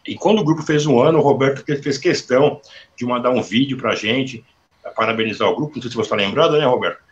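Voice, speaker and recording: A man's voice: medium pitch, fast, dull audio. A man hosting a live online interview, chatting freely and reading out sponsor plugs before his questions. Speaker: interview host. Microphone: video call.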